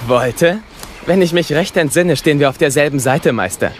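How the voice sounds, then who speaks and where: A man's voice: fairly high pitch, normal speed, slight background noise, natural voice. A man playing a bold young Jedi knight in an audio drama, confident and teasing.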